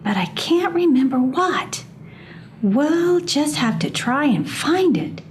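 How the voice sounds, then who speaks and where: An excitable, encouraging grandmother possum from a children's storybook, acted out by a woman reader. The pitch is lifted high and the delivery is exclamatory and determined, over faint noise. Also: takes it slowly. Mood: excited, determined. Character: loving, determined grandmother possum who makes magic. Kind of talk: children's storybook read-aloud.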